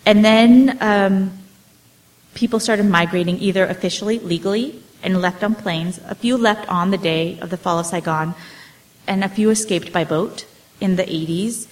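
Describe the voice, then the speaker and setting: Halting woman author answering questions. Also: mid-range voice, rough recording. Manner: hesitant, many fillers and restarts. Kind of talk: casual storytelling talk.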